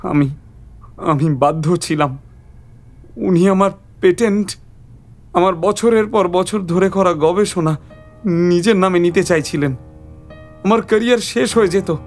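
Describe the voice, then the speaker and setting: A man's voice: high voice, a little slow, long pauses. A bitter young researcher in a cartoon mystery, voiced by a man. He sounds desperate and intense, justifying himself over faint music. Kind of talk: Bengali cartoon detective dialogue.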